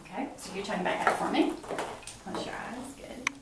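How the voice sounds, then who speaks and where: A middle-aged woman clinician explaining a physical exam step by step, in an instructional way with hesitant pauses. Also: mid-range voice. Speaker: clinician examining a patient. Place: small hard-walled exam room indoors. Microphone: camera mic a few feet away. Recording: echoey room, distant mic, clear background noise.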